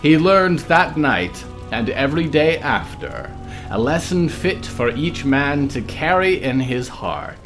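A man narrating a literary fable in a deliberate, dramatic storytelling manner. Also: fairly high pitch, big pitch swings, music in the background.

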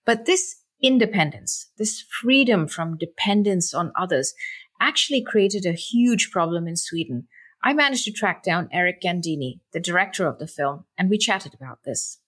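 A woman host leading an interview in a measured, conversational way. Her voice sits a little low and has a soft, breathy edge. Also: animated. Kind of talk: radio-style interview.

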